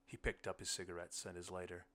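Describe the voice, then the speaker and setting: A man's voice: sits low, monotone, quick pace, bright, forceful tone. A man reading a short story aloud as scripted first-person audiobook narration.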